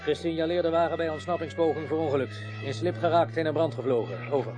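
An actor playing a patrol officer in a radio drama, excitable, with clipped procedural speech. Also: male voice, on the high side, quick pace, loud music behind the voice, close to an everyday voice. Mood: clipped, official.

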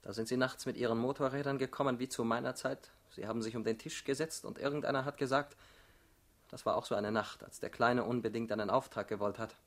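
An actor playing a bitter, talkative young ex-convict party man in a German radio drama, husky and ironic. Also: mid-range voice, steady tone, fast talker, natural voice. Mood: brooding, bitter.

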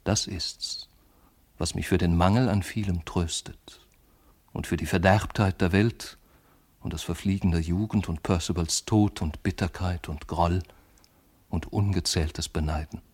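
An actor playing a lovesick, brooding man of letters in a literary radio monologue, speaking lyrically and intimately in measured phrases. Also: low-pitched, fairly even intonation, a little mellow, slightly rough. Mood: anguished, fervent.

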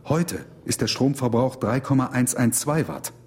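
Earnest, medium-pitched scientist played by a man. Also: lively intonation, moderate pace, slight background noise, lightly acted. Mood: puzzled, concerned. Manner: earnest, precise. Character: thoughtful, concerned male scientist. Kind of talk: radio drama dialogue.

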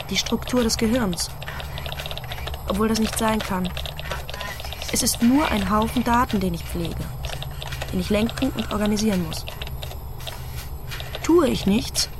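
A woman's voice: medium pitch, background noise, lightly acted. An actress playing a troubled, rational woman scientist who questions herself aloud in clipped sentences in a radio drama. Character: troubled, rational female scientist doubting her sanity. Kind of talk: German radio drama monologue.

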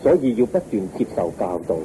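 An actor playing a calm, compassionate holy teacher, speaking in measured, solemn Hong Kong Cantonese in a radio drama. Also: male voice, lowish voice, slight background noise, poor audio.

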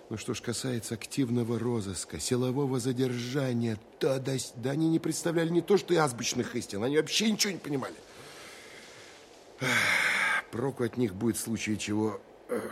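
A man's voice: mid-range voice, a little hiss or hum, close to an everyday voice. An actor playing a seasoned, exasperated Soviet counterintelligence man in an audio drama monologue, wry, colloquial and emphatic. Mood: blunt, dismissive.

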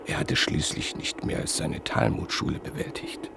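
A man narrating a classic literary radio play in a measured, reflective way that sometimes sinks to a near whisper. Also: background noise.

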